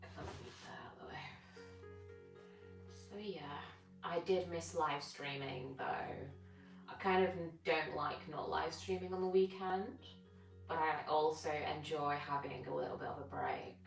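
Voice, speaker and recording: A woman's voice: deep voice, unhurried, gravelly. A British woman whose first tongue was Gujarati, chatting in a rambling, informal way with live viewers as a fitness streamer while stretching. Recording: roomy, distant mic with music behind. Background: music.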